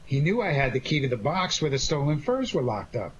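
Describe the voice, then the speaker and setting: A man's voice: on the high side, very animated, a little fast. A man playing an unflappable, sardonic amateur sleuth who trades slangy wisecracks in a 1940s-style radio detective drama. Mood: smug, teasing. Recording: dull lo-fi audio with faint noise.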